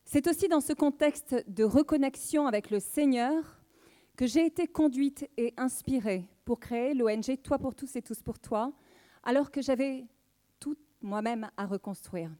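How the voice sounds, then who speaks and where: Mellow, higher-voiced Frenchwoman giving testimony. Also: a little slow. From southwest France. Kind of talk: prepared spoken testimony.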